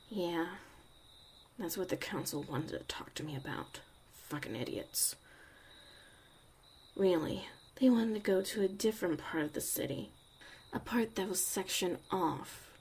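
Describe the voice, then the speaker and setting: A woman playing a jaded, blunt young witch mother in a soft, sardonic ASMR roleplay about surviving the apocalypse. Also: mid-range voice, slightly rough, heavily acted. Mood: scornful, amused. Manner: sardonic, casual, swearing, dismissive.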